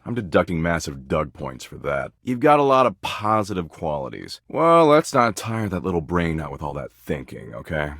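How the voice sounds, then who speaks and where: Deep-voiced, snide slacker of dread. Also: male voice, big pitch swings, moderate pace, few pauses, fairly bright tone, dry recording, studio quality, exaggerated voice. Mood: snide, dismissive. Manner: snide, slangy, bored condescension. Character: male slacker embodiment of existential dread, snide, needy dater. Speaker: datable character in a dating simulator game. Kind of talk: scripted video game voice acting.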